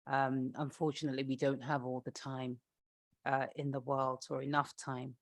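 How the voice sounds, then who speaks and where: A woman moderating a formal event, making introductions in a polite, somewhat halting way. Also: a bass voice, level tone, dry recording, studio quality.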